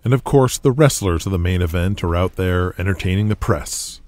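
A man narrating an audio drama in a scripted, steady storytelling manner. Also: sits low, normal speed.

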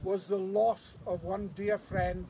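A man's voice: high voice, little pitch movement, takes it slowly, dark tone. Chairman giving an earnest outdoor welcome. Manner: earnest, thanking, switches languages. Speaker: charity chairman. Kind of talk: formal welcome speech. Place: outdoors on a wooden platform. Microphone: far camera. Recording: distant outdoor recording with background noise.

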